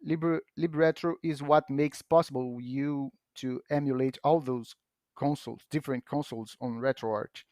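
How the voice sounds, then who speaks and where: A man whose first language is Portuguese, patiently explaining software on a podcast, often restarting his sentences. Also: medium pitch, fairly even intonation, no echo, clean studio sound. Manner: patient, halting with frequent restarts and fillers. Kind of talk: casual explanatory chat.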